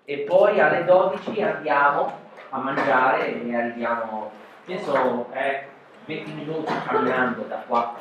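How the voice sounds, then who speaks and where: A man's voice: medium pitch, normal speed, a bit bright, lo-fi sound, a little hiss or hum. A man teaching a class informally. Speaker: language teacher. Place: classroom, indoors. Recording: very echoey classroom, distant, music over.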